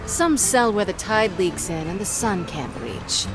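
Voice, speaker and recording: A woman's voice: medium pitch, very animated, measured pace. A woman playing a hard-edged pirate captain in a video game, cool, curt and commanding. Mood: cold, menacing. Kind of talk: dramatic video game acting. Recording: clear music behind the voice.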